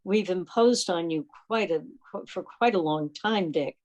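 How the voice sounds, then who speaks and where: A woman with a slightly low voice hosts an online meeting. She speaks very slowly and off the cuff, effusive but pausing as she finds her phrasing. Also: a little mellow, dull audio. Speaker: meeting host. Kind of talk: unscripted club meeting talk on a video call. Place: remote video call.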